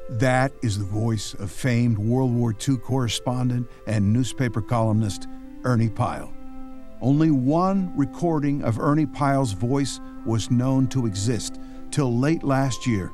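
A man's voice: medium pitch, measured pace, faint music behind. Man narrating audio drama. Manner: relaxed, conversational. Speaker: podcast host. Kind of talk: scripted narration.

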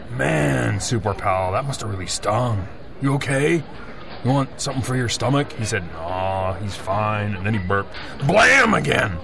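A superhero's oldest buddy in an audio comedy. He is a folksy, sentimental regular guy who rambles in slang. The voice is creaky and swings up and down, with music and crowd sounds behind it. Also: on the low side. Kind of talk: mockumentary interview in a comedic audio drama.

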